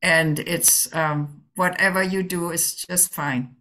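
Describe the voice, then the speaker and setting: Female meditation guide, low register, unhurried and halting, gently offering instructions with plenty of space between phrases. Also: steady tone. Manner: gentle, permissive, halting with long pauses. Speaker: Zen teacher leading a guided meditation.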